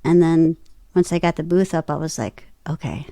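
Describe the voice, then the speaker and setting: Woman chatting casually, lowish voice, dry sound. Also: normal speed, gravelly, mellow, no room sound. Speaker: voice actor and podcaster, interview guest. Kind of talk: casual interview chat, personal anecdotes.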